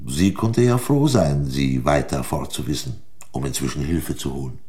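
An actor playing a shrewd, sardonic examining magistrate in a crime radio play, questioning in a pointed, condescending way. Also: male voice, rumbling low, animated, dark tone. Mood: sardonic, final. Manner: pointed, condescending, deliberate interrogation.

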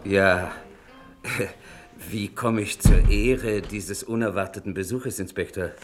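An actor playing a haughty crime boss in a German radio drama, mock-courteous and quick to protest. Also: male voice, fairly low pitch, steady tone, music in the background. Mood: smoothly courteous. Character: indignant, haughty male crime boss under arrest.

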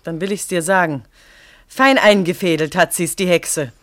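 A woman's voice: fairly low pitch, moderate pace, dry recording, lightly acted. An actress with speech playing a bitter, jealous young petrol station woman in a radio play, agitated and theatrical. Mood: spiteful. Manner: agitated, theatrical, swinging from muttering to sharp. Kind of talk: German radio play acting.

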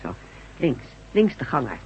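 An actress playing a brisk, courteous and soothing nurse in a radio drama, politely giving instructions. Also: female voice, rumbling low, huge pitch swings, a little mellow, exaggerated voice. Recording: dull, noisy radio drama recording.

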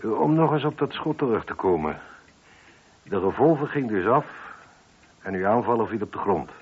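A dull, muffled radio play recording of a police inspector. His husky, fairly low voice is measured and sceptical, pressing each question with dry insistence. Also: expressive. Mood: businesslike. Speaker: radio drama actor. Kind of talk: Dutch radio play acting. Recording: muffled, band-limited old radio recording.

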